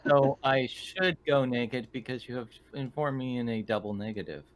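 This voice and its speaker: A man voicing a naive, obliging android with a deadpan, overly literal and formal way of talking in a tabletop roleplay. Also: mid-range voice, normal speed, close to an everyday voice.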